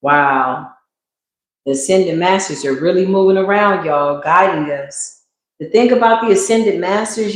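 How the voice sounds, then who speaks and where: A woman's voice: low voice, a little hiss or hum. Woman talking in a very echoey room. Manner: slangy, exclamatory, talks straight to viewers. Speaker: spiritual reader and livestream host. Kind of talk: casual livestream tarot reading.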